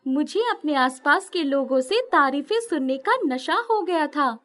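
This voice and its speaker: A woman voicing a gentle, wise hunchbacked former dancer in a storybook tale, sweet-spoken and reflective. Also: sits high, animated, measured pace, pitched above the performer's own voice. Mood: regretful.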